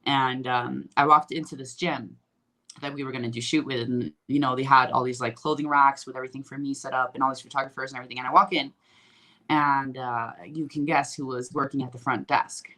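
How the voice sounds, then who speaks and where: Bright-voiced young woman, light Swedish accent. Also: rumbling low, steady tone, brisk. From Sweden. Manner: chatty, loose storyteller with many fillers. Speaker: guest bodybuilder. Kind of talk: casual interview chat.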